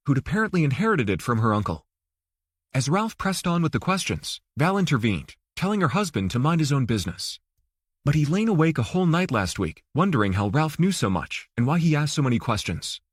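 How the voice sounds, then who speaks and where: A man's voice: fairly high pitch, slightly rough, no echo, clean studio sound. A man reading scripted fiction as an audiobook narrator.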